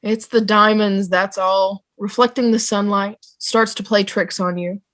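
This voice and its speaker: A practical pilot who keeps order, played by a woman in an online drama read. The voice sits in the middle register, and the manner is brisk, dismissive and businesslike. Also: medium pitch, steady tone, fairly bright tone, lightly acted. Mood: brisk, firm. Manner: matter-of-fact, dismissive. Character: brisk, no-nonsense vehicle pilot keeping order. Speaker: table read performer. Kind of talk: amateur drama table read. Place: online video call table read.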